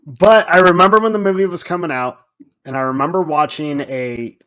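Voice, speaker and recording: Higher-pitched man musing in casual podcast talk. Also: steady tone, fairly quick, sharp tone, low-quality recording. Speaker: podcast co-host.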